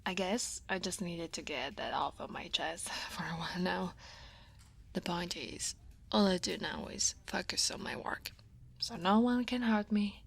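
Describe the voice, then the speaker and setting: A woman with an Italian accent playing a guarded, workaholic dragon woman who is a senior coworker, in a soft, often whispered ASMR roleplay, wry and self-deprecating with sighs and soft laughs. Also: fairly low pitch, unhurried, creaky, breathy edge, exaggerated voice. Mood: wistful. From Italy.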